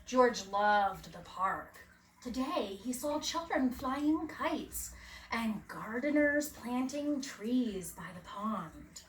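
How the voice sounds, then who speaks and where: A woman reading a children's picture book aloud like a preschool teacher, with expressive, dramatic emphasis for young listeners. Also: fairly high pitch, slow pace. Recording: roomy, distant mic, faint background noise.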